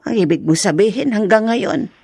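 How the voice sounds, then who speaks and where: A woman's voice: mid-range voice, animated, moderate pace, warm tone, slightly rough, no room sound, clean studio sound. An actress playing a patient older mother, earnest and admonishing, in a radio drama. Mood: earnest, pleading. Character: patient older mother counseling her daughter.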